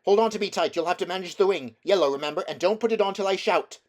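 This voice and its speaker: A plucky young boy from a storybook, played by a man. The voice is pitched up, earnest and briskly instructing. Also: close to an everyday voice. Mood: urgent. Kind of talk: storybook character reading.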